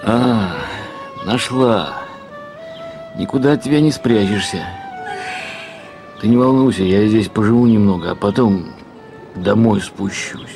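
An actor in an audio drama playing a weary, melancholy ageing academic husband, musing with resigned affection. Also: male voice, on the low side, unhurried, long pauses, a little mellow, soft background music. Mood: resigned, affectionate.

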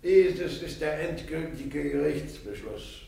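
An actor playing a court official formally reading out a verdict in a radio play. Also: male voice, fairly high pitch, lively intonation, creaky, heavy reverb, heavy background noise, exaggerated voice. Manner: slow, measured, formal.

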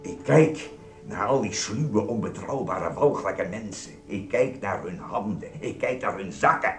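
An actor playing a grumpy, officious supermarket security guard in a radio play, brusque, suspicious and lecturing. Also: male voice, lowish voice, lively intonation, measured pace. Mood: indignant, distrustful. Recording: roomy echo, music behind.

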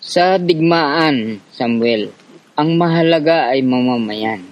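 A man with a Filipino accent playing a calm, friendly, patiently explaining guerrilla in a radio drama. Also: mid-range voice, slow, highs cut off. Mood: calm, resolute.

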